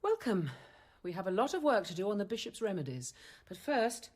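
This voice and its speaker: An actress playing a composed, dryly witty convent apothecary nun in a radio drama, measured and articulate. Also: female voice, medium pitch, sing-song, a little mellow, no echo. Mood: brisk, instructive. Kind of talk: radio drama acting and narration.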